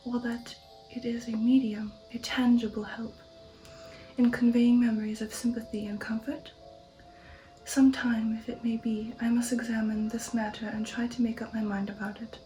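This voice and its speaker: Softly whispering ASMR woman, breathy and hushed. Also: fairly high pitch, level tone, moderate pace, faint music behind, poor audio. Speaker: ASMR channel host reading a novel aloud. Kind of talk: whispered ASMR audiobook reading.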